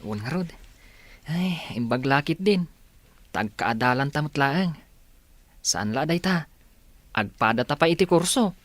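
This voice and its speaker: A man's voice: a little high, lively intonation, a little slow, long pauses, a bit bright, no echo. A man playing a friendly, easygoing college classmate in an audio drama, chatting in a casual, chummy way.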